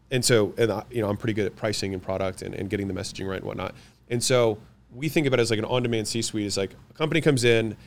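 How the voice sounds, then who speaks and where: A man with a fairly low voice talks fast and with confidence in an interview, rattling off his points in quick runs. French is his first language, and he sounds at ease. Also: a little hiss or hum. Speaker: guest, business owner. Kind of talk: interview answers.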